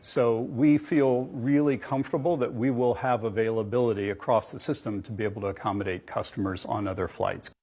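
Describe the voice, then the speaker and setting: Reassuring male executive, medium pitch. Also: steady tone, brisk, hardly any pauses, a little mellow, breathy edge. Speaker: airline executive being interviewed. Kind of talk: corporate interview answers.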